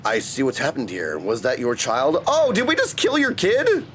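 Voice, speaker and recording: A man's voice: mid-range voice, huge pitch swings, moderate pace, fairly bright tone, a little hiss or hum. A man livestreaming a game, chatting casually and in slang with his viewers. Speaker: game streamer. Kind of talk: casual livestream gameplay commentary. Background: game music.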